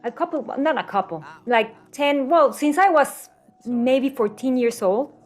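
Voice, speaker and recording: A woman's voice: high voice, animated, measured pace, faint music behind. A middle-aged woman from Mexico City with a Mexican accent and Spanish as her first language, telling personal stories casually as an interview guest, acting out quotes. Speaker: interview guest, health coach.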